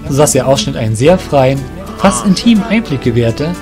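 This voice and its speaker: A man's voice: medium pitch, very animated, moderate pace, music in the background. A man reading scripted narration for a fantasy audio drama.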